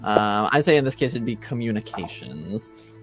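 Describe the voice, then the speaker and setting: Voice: mid-range voice, lively intonation, normal speed, faint music behind. A game master running a tabletop game in a laid-back, playful way.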